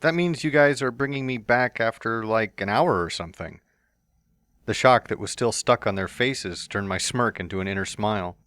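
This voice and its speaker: A man's voice: on the low side, moderate pace, a bit bright, dry recording, studio quality. A man reading a fan story aloud as narrator, with playful, smug first-person asides.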